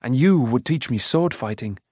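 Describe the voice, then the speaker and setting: A man's voice: medium pitch, expressive, moderate pace, darkish tone, no room sound, studio quality, natural voice. A man voicing an eager, impatient youth in a storybook, pleading and protesting. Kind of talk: storybook character voice.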